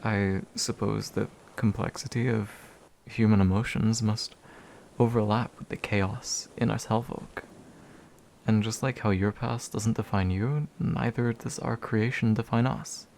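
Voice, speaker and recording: A man playing a gentle, slightly bashful demon in a soft, soothing, intimate ASMR comfort roleplay. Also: on the low side, fairly even intonation, vocal fry, a little hiss or hum. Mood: calm, explanatory. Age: young adult. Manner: soothing, hushed, unhurried, tender.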